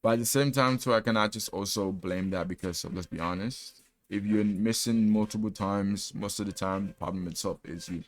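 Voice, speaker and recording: A man's voice: fairly low pitch, brisk. A man in his twenties candidly talking through a personal reflection vlog, self-deprecating and rambling, with casual slang and frequent restarts. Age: 20s. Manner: casual slang, says "bro" often. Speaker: vlogger.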